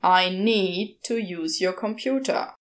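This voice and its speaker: A sardonic, restless male demon from a storybook, acted out by a woman reader. The voice drops low and speaks in terse, blunt grumbles, moody and impatient. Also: slow, lightly acted. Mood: urgent.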